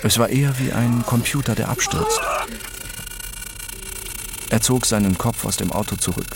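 An actor playing a detached, obsessed first-person narrator, speaking in a measured, deliberate way in an audio drama. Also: male voice, medium pitch, wildly swooping pitch, vocal fry, background noise.

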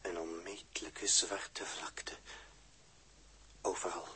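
An actor playing a wary, awed spaceship crewman with a husky, breathy voice, speaking slowly in short brooding phrases in a 1960s Dutch radio drama. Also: deep voice, little pitch movement, sharp tone, a little hiss or hum, exaggerated voice. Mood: hushed, awed. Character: male spaceship crewman exploring a strange planet, wary, awed.

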